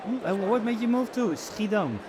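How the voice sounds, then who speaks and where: A man's voice: high voice, lively intonation, moderate pace, background noise. A man interviewing people at a busy fair, asking short friendly questions with some ums. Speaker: street interviewer. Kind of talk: casual street interview.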